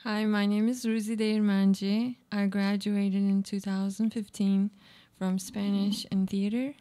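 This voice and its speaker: A woman's voice: medium pitch, level tone, unhurried, mellow. A woman in her twenties, a stage actor, introducing herself. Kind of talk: spoken self-introduction.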